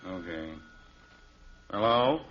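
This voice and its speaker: A man's voice: low voice, expressive, slow, dull audio. An actor playing a grumpy, sleepy middle-aged American father in an old radio sitcom, grumbling in exasperation. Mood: groggy. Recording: noisy, band-limited vintage radio recording.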